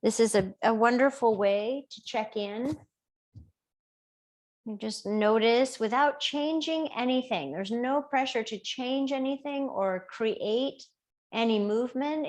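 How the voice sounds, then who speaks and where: Slow, gentle woman guiding meditation. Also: a little high. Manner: gentle, unhurried, soothing, with long pauses. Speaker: yoga and body awareness teacher. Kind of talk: guided body scan meditation.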